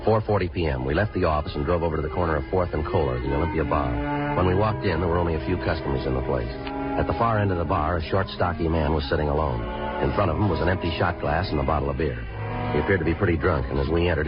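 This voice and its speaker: A muffled vintage radio recording with music carries a very deep-voiced American police sergeant. He reports in a brisk, businesslike deadpan, wasting no words, as the narrator of a crime drama. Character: terse, matter-of-fact male police homicide detective sergeant. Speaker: narrator and lead detective.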